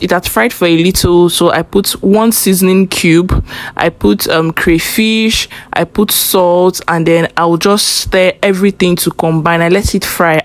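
A young woman with a Nigerian English accent talks through her cooking as she goes. Her voice sits a little low, and she is chatty and instructive, slipping in loose asides along the way. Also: dry recording. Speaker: cooking vlogger. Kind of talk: casual cooking voice-over.

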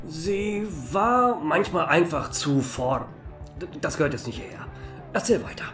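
Casting: man playing polite, drily amused old fellow for radio play, expressive, faint music behind. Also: on the high side, a little slow, far from the mic. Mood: curious, evasive. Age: older. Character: old man Joe, courteous, wry, gallant friend. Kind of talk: audio drama acting.

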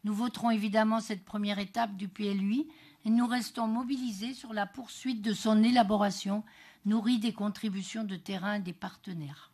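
A woman with a French accent from France delivers a formal, prepared political speech as an elected councillor, in a measured manner with occasional stumbles. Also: medium pitch, steady tone, a little mellow. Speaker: elected metropolitan councillor, group co-president.

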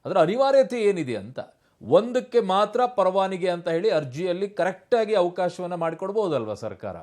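Emphatic male news anchor, higher voice. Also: big pitch swings, moderate pace, darkish tone. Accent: Kannada, Karnataka.

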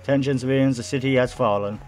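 A man's voice: mid-range voice, fairly even intonation, fast talker, a little mellow, faint music behind, pitched above the performer's own voice, natural voice. A man voicing a solemn emergency radio announcer who warns civilians in a video game. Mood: official, projecting. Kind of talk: public service announcement read from game text.

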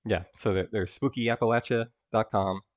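A man hosting a casual interview over a video call, speaking in a measured way with hedges like "I mean" and "like". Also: lowish voice, fairly even intonation, no room sound, highs cut off. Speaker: host.

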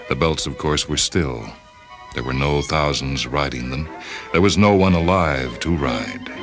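Man, very low voice, scripted story narration, music behind. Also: a bit bright. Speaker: host and story reader. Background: music and sound cues.

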